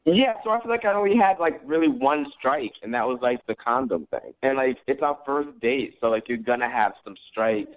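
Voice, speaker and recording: A man's voice: mid-range voice, animated, moderate pace, hardly any pauses. A man calling a radio show, chatting casually and earnestly over the phone. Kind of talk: casual chat. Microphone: telephone. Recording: slightly dull phone call audio.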